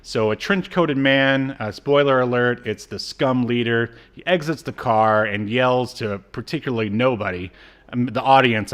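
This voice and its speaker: A man's voice: mid-range voice, a bit bright. Wry male podcast co-host. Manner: wry, sarcastic asides. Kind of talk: casual episode recap.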